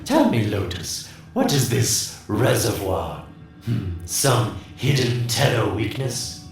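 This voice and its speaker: A man's voice: lowish voice, very animated, takes it slowly, gravelly, full character voice. A man playing a sly, mocking, self-interested schemer in a video game, with a teasing, theatrical drawl. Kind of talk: video game character acting. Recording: echoey, distant, under loud game music.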